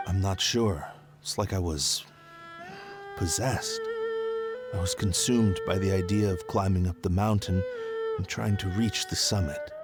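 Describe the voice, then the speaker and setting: A man's voice: low-pitched, unhurried, loud music behind the voice. An actor playing a weary, bewildered ordinary man in a video game, puzzled and emphatic.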